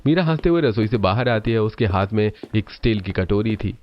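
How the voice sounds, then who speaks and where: A man's voice: lowish voice, animated, fairly quick, highs cut off, a little hiss or hum. A man narrating a scripted cartoon family story as the storyteller.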